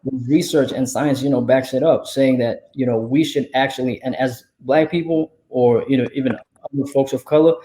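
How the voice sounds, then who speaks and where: A man's voice: medium pitch, fairly even intonation, normal speed, few pauses, dark tone, a little hiss or hum, low-quality recording. A man speaking earnestly as a guest in a casual panel discussion, often checking in with "right?". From Somalia.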